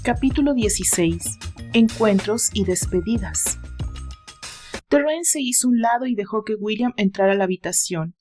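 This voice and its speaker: A woman's voice: medium pitch, normal speed, a little mellow, soft background music. A woman with a Mexican accent, hosting and narrating a romance story in a dramatic reading style. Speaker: audiobook narrator and channel host.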